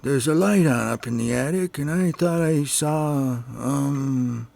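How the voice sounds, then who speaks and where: A man playing a polite, spooked neighbor in an amateur radio drama, hesitant and halting. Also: mid-range voice, creaky, a little mellow. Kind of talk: amateur old-time radio drama acting.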